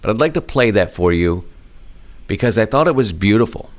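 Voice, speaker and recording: Male podcast host, low register, casual conversational delivery in short, halting phrases. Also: animated. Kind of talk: casual solo podcast talk.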